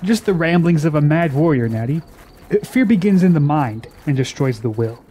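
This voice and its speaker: An actor playing a proud, scornful young warrior prince in a fantasy radio drama, speaking in a haughty, dramatic way. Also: male voice, a little high, animated, normal speed, mellow.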